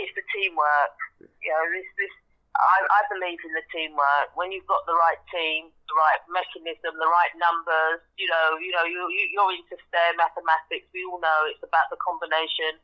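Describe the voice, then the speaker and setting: A woman's voice: lowish voice, fairly even intonation, a little fast. A woman speaking as a guest in a casual interview over a phone call, effusive and appreciative, repeating words for emphasis. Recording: dull telephone call sound.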